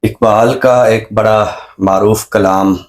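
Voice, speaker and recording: A man with a Pakistani accent speaking as a talk show expert in a reflective discussion, explaining in measured, repeated phrases with examples. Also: fairly low pitch, fairly even intonation. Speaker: expert guest on a literary and social talk show.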